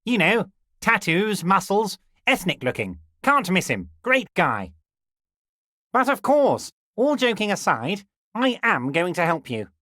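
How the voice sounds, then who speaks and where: An actor playing a scheming middle-aged union boss who flatters in a smug, drawn-out way, in a video game. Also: male voice, sits high, no room sound, studio quality, full character voice. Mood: oily flattering. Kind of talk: video game character dialogue.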